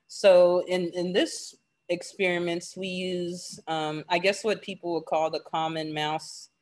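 A graduate student answering panel questions casually, with many fillers, over a video call. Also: male voice, high-pitched, flat delivery, unhurried.

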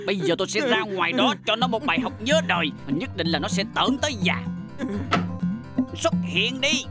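Blustery cartoon best friend, bossy and very animated, music underneath. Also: male voice, high voice, normal speed. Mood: blustering. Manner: questioning, blustery. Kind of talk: dubbed cartoon voice acting.

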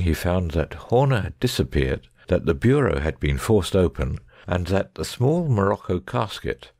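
A man's voice: deep voice, creaky, a little mellow, no room sound, clean studio sound, heavily acted. A man playing a brilliant, self-assured detective in a dramatic audiobook, speaking in a precise, didactic way.

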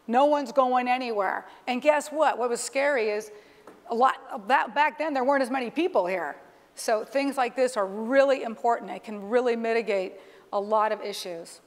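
A middle-aged woman giving an explanatory live demonstration from a conference stage. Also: a little high. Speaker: corporate executive presenting a keynote demonstration. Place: large conference stage, indoors. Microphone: clip-on lapel microphone. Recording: reverberant hall sound through PA.

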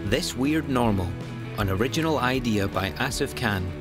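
A man's voice: mid-range voice, expressive, normal speed. A man reading scripted end credits as the announcer of an audio drama. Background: guitar music.

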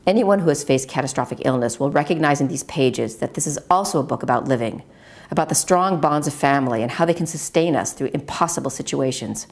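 A middle-aged American woman reading her own memoir aloud in a measured, earnest manner. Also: extremely low pitch, lively intonation, gravelly. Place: at a wooden table in a home dining room.

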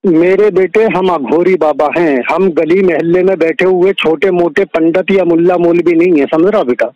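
Man, high voice, self-styled holy man, insistent, paternal, repetitive, lo-fi phone recording. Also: steady tone, normal speed, no echo. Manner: paternal address, insistent, repeats himself with constant check questions. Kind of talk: phone conversation, sales pitch. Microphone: telephone. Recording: muffled lo-fi recorded phone call.

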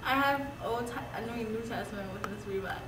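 A woman's voice: medium pitch, fairly quick, a bit bright. A teenage girl chatting playfully with a friend filming her on a handheld camcorder. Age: teens. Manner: giggly, playful. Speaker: school friend. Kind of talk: casual chat on camera. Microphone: handheld camcorder mic. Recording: hissy camcorder recording.

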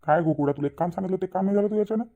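A man plays a middle-aged village father in a cartoon, bossy yet doting. He speaks very quickly and insistently, scolding in a rural Maharashtrian accent. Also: sits high, narrow, tinny audio. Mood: impatient. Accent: rural Maharashtrian Marathi. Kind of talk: cartoon comedy dialogue.

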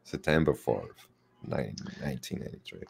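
Deep-voiced young man, teasing. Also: expressive, moderate pace, gravelly, darkish tone, breathy edge. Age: 20s. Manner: teasing, playful. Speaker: podcast host. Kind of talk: casual chat. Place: home room. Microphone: headset on video call.

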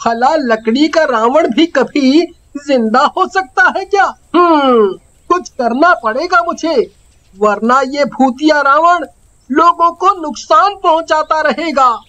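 Cartoon hero: woman as brave village boy, high voice, unhurried, earnest, explains things clearly. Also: fairly bright tone, rough recording. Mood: realising, resolute.

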